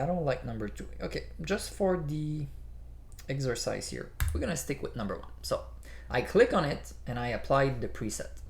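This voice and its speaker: A man's voice: mid-range voice, creaky. A man with a French accent, a native French speaker, walking viewers through photo editing presets in a tutorial, with frequent "you know" fillers. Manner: unhurried, explains step by step with many "you know" fillers. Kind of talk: screen-recorded software tutorial.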